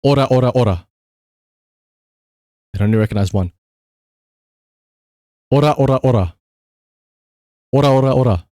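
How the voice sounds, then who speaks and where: A man's voice: mid-range voice, normal speed, long pauses, warm tone, no room sound, studio quality. A man doing casual live game-stream commentary, swearing freely and repeating short command words.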